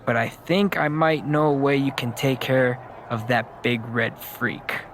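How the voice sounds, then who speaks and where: A man's voice: mid-range voice, fairly even intonation, a little slow, fairly bright tone, slight background noise, natural voice. A man playing a streetwise ex-con wrestler in a video game, talking in a slangy, earnest way. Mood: conspiratorial. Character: streetwise male wrestler ex-con offering shady advice.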